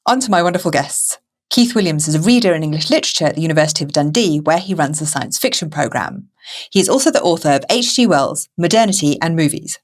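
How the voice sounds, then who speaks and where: A woman presents a podcast in a conversational style. Her pitch sits a little lower than most women's, and she talks as a host would to guests and listeners. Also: expressive, fast.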